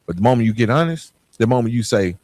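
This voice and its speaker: An American man hosting a podcast, giving a reflective motivational monologue in an earnest, emphatic way with deliberate pauses. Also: medium pitch, expressive, darkish tone, no room sound. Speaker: podcast host.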